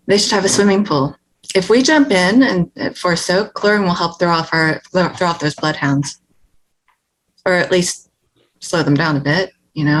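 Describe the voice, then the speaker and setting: A practical woman on the run, played by an actress at a table read, with a slightly low voice. She is wary and keeps coaching her partner through each step, in everyday dramatic dialogue. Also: a little hiss or hum, natural voice, low-quality recording. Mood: practical, plotting.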